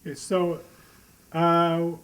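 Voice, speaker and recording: A man's voice: fairly high pitch, completely flat delivery, slow pace, fairly bright tone, low-quality recording. A man interviewing a guest, putting his questions in a conversational style.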